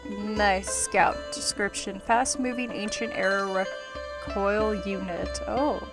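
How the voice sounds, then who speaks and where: Calm, slow-talking woman streamer. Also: mid-range voice. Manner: calm, even-toned, thinking aloud. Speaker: gameplay streamer. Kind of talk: casual gameplay commentary. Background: game music.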